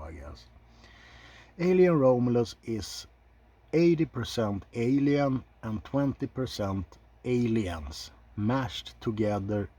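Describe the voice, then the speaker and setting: A man giving unscripted ASMR film commentary in a soft, low-key, halting way, blunt and opinionated with casual swearing. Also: slightly rough. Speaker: video host reviewing films.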